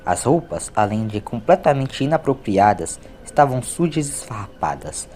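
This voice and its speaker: A man reads a story as a scripted narration, with a Brazilian accent. His pitch is medium, and there is clear ambient noise behind him. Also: big pitch swings, normal speed, a little mellow. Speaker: audiobook narrator.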